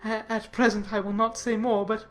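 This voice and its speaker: A woman's voice: medium pitch, level tone, moderate pace, soft background music, lightly acted. A woman voicing a pompous, obsequious young clergyman in a dramatized classic novel, formal, solemn and self-important. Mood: pompous, flattering.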